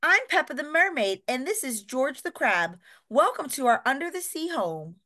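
A woman's voice: very animated, moderate pace, sharp tone, exaggerated voice. Woman putting on a squealing little piglet girl. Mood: excited. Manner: squealing, eager and high.

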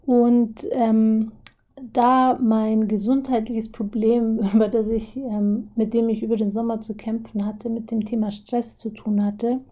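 A young woman with a hoarse, husky voice from a cold, explaining a topic casually over drawings with lots of filler pauses. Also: on the high side, flat delivery, dull audio. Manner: chatty, many filler pauses. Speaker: video host.

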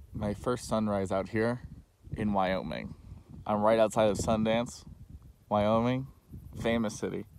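A young man talking casually and enthusiastically while he records a road-trip vlog. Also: lowish voice. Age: young adult. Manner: enthusiastic, easygoing. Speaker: travel vlogger. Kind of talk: casual travel vlog with voice-over. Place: outdoors, windy open land. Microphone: phone camera at arm's length. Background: wind.

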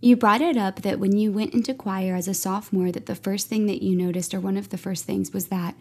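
A woman's voice: mid-range voice, steady tone, a little fast, a little mellow, breathy edge. Effusive woman hosting a podcast. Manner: enthusiastic, effusive, laughs easily. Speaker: podcast host. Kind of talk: podcast interview over video call. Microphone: earbuds on a video call.

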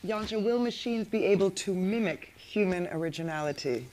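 A woman chairing a festival panel, brisk and polished as she takes audience questions. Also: medium pitch, lively intonation, a little mellow, a little hiss or hum. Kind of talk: moderating a panel.

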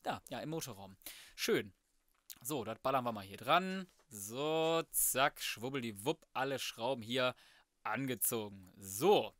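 A man's voice: mid-range voice, lively intonation, unhurried, a bit bright, dry recording, clean studio sound. A young man commenting casually on his gameplay, thinking aloud in slangy, colloquial speech. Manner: colloquial, slangy, muttering to himself. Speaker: let's player.

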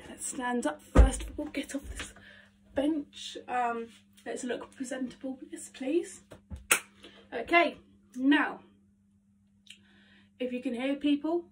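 A young woman vlogging her vocal warm-up at home, chatty and full of ums, her voice nasal and congested from hay fever. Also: on the high side. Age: young adult. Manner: chatty, self-talking, lots of ums. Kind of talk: casual vocal warm-up vlog. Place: small attic bedroom at home. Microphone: phone camera across the room. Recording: faint room noise, distant from phone.

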